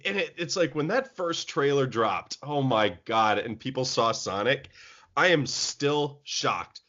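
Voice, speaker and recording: A man's voice: medium pitch, animated, a little slow, a bit bright. A man co-hosting a casual talk show, speaking animatedly with lots of laughs and fillers. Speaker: podcast co-host. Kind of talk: casual chat. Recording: dull lo-fi recording.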